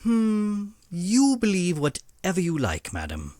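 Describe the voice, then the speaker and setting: A man playing a dutiful, protective butler in an audio drama, with a formal, deferential and measured way of talking. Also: sits high, huge pitch swings, warm tone, no room sound, exaggerated voice. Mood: reserved.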